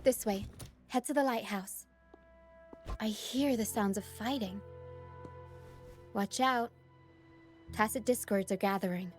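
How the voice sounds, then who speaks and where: A woman playing a solemn, watchful young guide in a fantasy video game, speaking in a measured, earnest way. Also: mid-range voice, faint music behind, close to an everyday voice. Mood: wary. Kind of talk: scripted video game dialogue.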